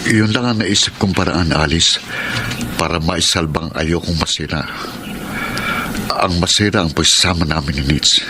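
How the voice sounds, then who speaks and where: An actor playing a hurt, suspicious husband in a Filipino radio drama, earnest and aggrieved. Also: male voice, low voice, normal speed, long pauses, noisy background, natural voice. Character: hurt, suspicious husband confiding his marital troubles.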